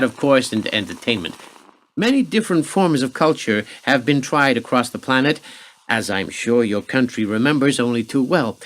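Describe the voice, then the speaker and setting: A smug, menacing senior American government official acted by a man in a radio drama. He is sardonic and condescending, with a medium-pitched voice that swings theatrically up and down. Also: a bit bright.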